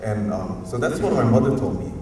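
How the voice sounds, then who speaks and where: A university professor from Hawaii with a Hawaiian accent, giving a rambling, informal, unscripted talk at a community forum and often chuckling. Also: male voice, medium pitch, big pitch swings, brisk, warm tone. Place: museum auditorium. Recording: echoey hall, distant mic, faint noise.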